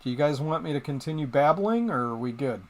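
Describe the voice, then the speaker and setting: A middle-aged man from Michigan hosting a casual live stream, rambling with plenty of pauses and restarts as he talks to viewers in chat. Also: a little high, expressive. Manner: rambling, hesitant, many ums and restarts.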